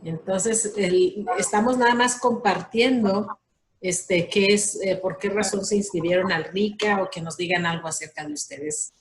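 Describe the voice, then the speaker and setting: A woman's voice: mid-range voice, measured pace, slight background noise, poor audio. A woman leading an online class, politely calling on each participant and thanking them. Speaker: class leader, catechist.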